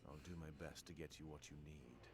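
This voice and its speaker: An actor playing a sly, sinister beast apprentice and interrogator in a video game, deferential and insinuating. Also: male voice, extremely low pitch, steady tone, a little fast. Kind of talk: scripted video game voice acting.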